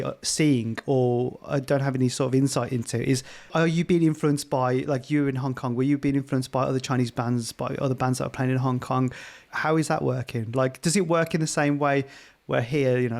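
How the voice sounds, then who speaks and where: A man's voice: mid-range voice, vocal fry, darkish tone. A man whose first language is Gujarati, hosting a podcast and asking long questions in a chatty, roundabout way. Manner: chatty, circling questions with "like" and restarts. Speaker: podcast host. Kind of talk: casual interview questions.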